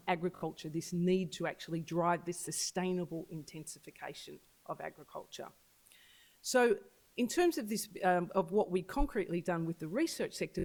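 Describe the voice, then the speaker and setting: An agtech business leader giving an earnest launch speech to an audience at an event. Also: a little mellow. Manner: earnest, pitching, repeats for emphasis. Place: event venue.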